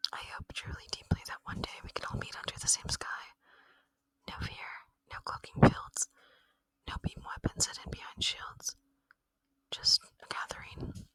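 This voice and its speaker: Whispering, gentle alien traveler played by a woman. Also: no echo, low-quality recording. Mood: earnest, hopeful. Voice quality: breathy, unvoiced whisper. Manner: slow, tender, intimate, earnest. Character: gentle, lonely, wistful female alien traveler. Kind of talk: ASMR roleplay monologue. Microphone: close microphone, dry.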